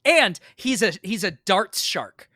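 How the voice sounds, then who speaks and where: An American man tells stories in a casual podcast chat. His voice is bright and forceful, and the sound is close and dry. He is animated and emphatic and swears freely as he goes. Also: sits high, slow, no room sound. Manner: animated, emphatic, profane storyteller. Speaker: podcast guest.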